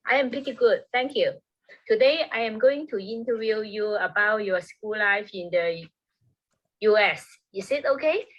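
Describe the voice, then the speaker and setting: A woman's voice: medium pitch, normal speed, muffled recording. A young woman student interviewing a friend for a class recording. She asks questions politely in simple learner's English.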